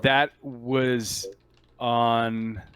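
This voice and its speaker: A man running a tabletop roleplaying game as game master, talking in a casual, halting, conversational way. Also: mid-range voice, level tone, fairly bright tone, clear, resonant tone.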